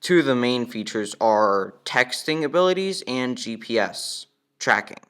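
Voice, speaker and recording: A man's voice: medium pitch, animated, unhurried, fairly bright tone, smooth. A young man giving a casual, matter-of-fact walkthrough as he demonstrates a product.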